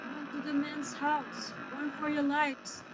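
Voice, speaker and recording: Woman playing an urgent high-voiced island villager, very noisy. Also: completely flat delivery, slow pace. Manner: urgent, exclamatory. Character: urgent island villager rallying warriors against a monster. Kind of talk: dramatic folktale voice acting. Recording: muffled, very noisy recording.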